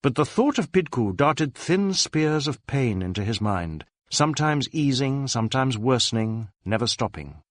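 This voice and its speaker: A man's voice: mid-range voice, very animated, normal speed, few pauses, dry recording. Male storyteller with a close, dry sound. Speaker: audiobook narrator. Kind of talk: scripted dramatic narration of a detective novel.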